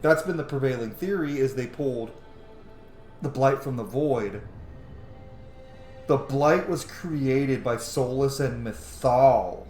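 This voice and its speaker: A man's voice: medium pitch, slow pace, long pauses, a little mellow. A man hosting a gaming channel, giving informal, sweary let's play commentary. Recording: roomy echo, game music behind. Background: video game music.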